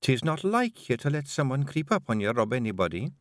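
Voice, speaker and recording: A man reading aloud and voicing a small, fierce female fairy clan leader in a Scottish accent, coaxing and brisk. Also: medium pitch, big pitch swings, no echo, clean studio sound, natural voice. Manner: brisk, coaxing, then shouting.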